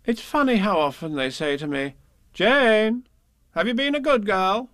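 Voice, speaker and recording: A man's voice: high voice, expressive, measured pace, a bit bright, dry recording, studio quality, pitched above the performer's own voice. A man voicing a good little girl who is puzzled and put-upon, reciting children's verse. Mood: exasperated.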